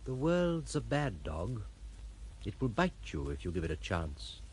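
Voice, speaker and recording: A man playing a wry, world-weary, philosophical gentleman in an audio drama. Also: fairly low pitch, wildly swooping pitch, a little slow, slight background noise. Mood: wry, calm.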